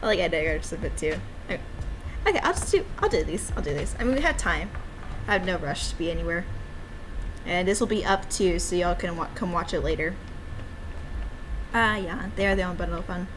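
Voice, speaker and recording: A woman's voice: on the low side, animated, fairly quick, long pauses, background noise. A woman giving casual livestream commentary on her own game, with an enthusiastic, giggly, rambling manner. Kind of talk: casual livestream chat mixed with reading game dialogue aloud.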